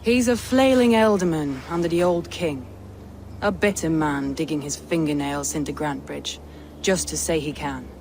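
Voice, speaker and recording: An actress voicing a proud, resolute Norse warlord in a video game cutscene, speaking in a measured, guarded way. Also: female voice, deep voice, a little mellow, a little hiss or hum. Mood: determined. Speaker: Norse lord of a city.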